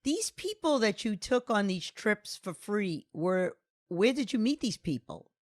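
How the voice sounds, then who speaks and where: A woman's voice: medium pitch, very animated, a little slow. A woman hosting a talk podcast, upbeat and effusive as she introduces and questions her guest. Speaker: podcast host. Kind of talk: enthusiastic host interview.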